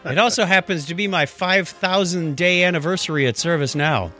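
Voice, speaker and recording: A man's voice: high-pitched, a little fast, pushed and bright, faint music behind. A man co-hosting a podcast in a casual, chatty style. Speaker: podcast co-host.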